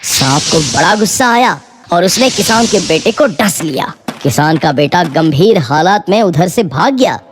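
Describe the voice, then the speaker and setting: A woman's voice: lowish voice, lively intonation, measured pace. A woman narrating a scripted moral story for children as a storyteller. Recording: music behind the voice.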